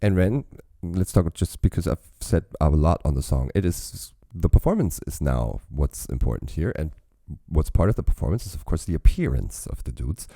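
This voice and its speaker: Very deep, gravelly-voiced man musing aloud. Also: very animated, moderate pace, few pauses, mellow, dry recording, clean studio sound. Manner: musing, rambling aloud with frequent restarts. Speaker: music reaction host. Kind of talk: casual reaction commentary.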